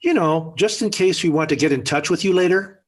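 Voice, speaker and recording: A man's voice: a little high, fairly quick, close to an everyday voice. An actor playing an exasperated middle-aged American family father in a 1940s radio sitcom, with formal, wordy, dryly sarcastic delivery. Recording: vintage 1940s radio recording.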